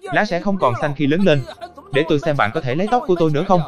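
A man voicing cheeky, stubborn talking plants in an animated cartoon, with a sassy, exclamatory delivery. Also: on the high side, rapid-fire, close to an everyday voice. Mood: indignant, stubborn. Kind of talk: animated cartoon dialogue dub.

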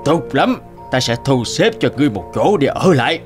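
A menacing owl chieftain acted by a man rules with haughty commands. His cartoon fairy-tale delivery swings in pitch, over faint music. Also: very animated, moderate pace, close to an everyday voice. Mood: commanding. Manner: commanding, threatening.

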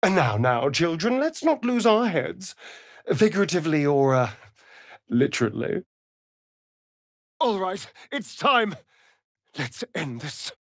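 An actor with an English accent playing a sly, vain, mocking vampire elf rogue in a fantasy game, arch and teasing in manner. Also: male voice, a little high, sing-song, takes it slowly, pauses often, dry recording, studio quality, heavily acted. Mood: teasing, silky. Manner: arch, languid, teasing, theatrical. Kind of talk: theatrical fantasy game voice acting.

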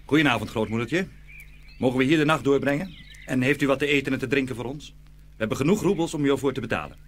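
A man acting a blunt, folksy soldier, medium pitch. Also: fast, a bit bright, slight background noise, lightly acted. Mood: friendly. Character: plain-spoken, shrewd, bossy runaway soldier. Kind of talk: dramatised fairy tale acting.

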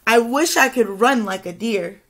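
A woman's voice: fairly high pitch, a little slow, a bit bright. A woman voicing a small, discontented chameleon in a picture book, wishing wistfully to be like other animals.

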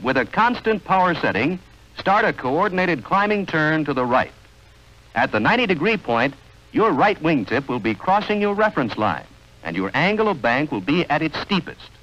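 A man reading scripted 1940s training film narration in a measured, instructional, clearly enunciated manner. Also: a little high, a bit bright. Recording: dull, band-limited vintage film soundtrack.

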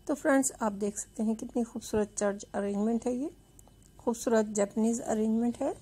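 A woman's voice: on the high side, fairly even intonation, brisk, dark tone, breathy edge. A woman host giving a chatty, repetitive voice-over, addressing her viewers as friends. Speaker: video channel host.

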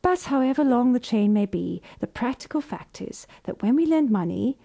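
A woman voice artist reading scripted narration in a measured, formal way. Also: fairly high pitch, lively intonation, vocal fry, mellow.

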